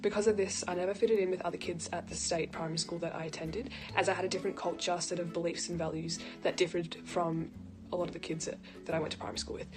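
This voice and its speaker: A young woman, a university student, reading a personal account quickly with slight hesitations. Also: lowish voice, fairly even intonation. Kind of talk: read-aloud personal account. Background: background music.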